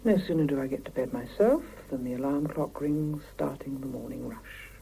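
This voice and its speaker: A woman playing a weary working mother, narrating her life in an intimate, hushed voice in a 1970s radio drama. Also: very deep voice, moderate pace, gravelly. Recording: faintly noisy old mono cassette radio recording.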